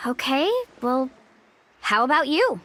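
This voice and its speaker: A high, animated young woman's voice for a confident adventurer guide in a video game. She sounds breezy and encouraging, with some music underneath. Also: slow, full character voice. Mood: explaining. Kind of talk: video game character voice acting.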